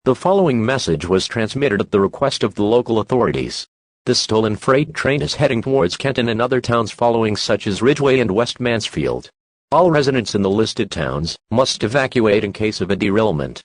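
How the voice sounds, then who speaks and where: A man's voice: on the low side, animated, brisk, no echo. A man voicing an impersonal emergency alert announcer, reading scripted civil warnings in a formal, instructive manner. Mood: impersonal, official.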